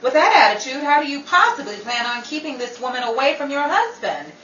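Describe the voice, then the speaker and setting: A woman's voice: sits high, big pitch swings, brisk, a bit bright. A woman playing a worldly, melodramatic, bossy but loyal friend in a 1930s-style radio drama, with a wry, commanding delivery. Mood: bossy, caring. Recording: dull, roomy, distant, with faint noise.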